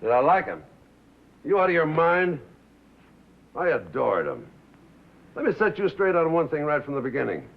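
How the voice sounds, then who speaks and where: Cocky, high-voiced American bandleader. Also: male voice, huge pitch swings, normal speed, gravelly, pauses often, exaggerated voice. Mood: defensive. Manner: slangy, cocky, punchy street talk. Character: brash, defensive male bandleader, fiercely loyal, wise-guy talker. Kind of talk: 1950s American film drama acting. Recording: muffled vintage film soundtrack.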